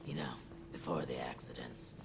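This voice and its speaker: An actor playing a wistful vault dweller in a video game, speaking in a breathy, gravelly voice about better days. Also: male voice, very deep voice, steady tone, moderate pace, noisy background. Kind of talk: scripted video game background dialogue.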